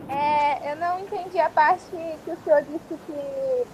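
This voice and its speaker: A woman's voice: high-pitched, a little slow, a little mellow, slight background noise, low-quality recording. A female student hesitantly asking her teacher a question during an online class.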